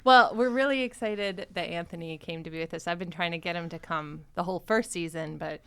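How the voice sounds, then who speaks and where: A woman's voice: fairly low pitch, fairly bright tone, smooth. A middle-aged woman hosting a casual chat, effusive and talkative in an informal way. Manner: effusive, chatty, quick to laugh. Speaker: podcast host, actor.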